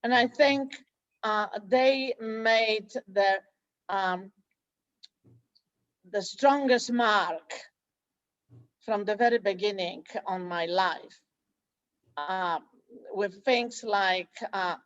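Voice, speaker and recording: A woman's voice: mid-range voice, very slow, pauses often, dry recording. Hesitant Polish woman, muffled dry voice. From Poland. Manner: hesitant, many fillers and restarts. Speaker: school director, guest. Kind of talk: interview conversation.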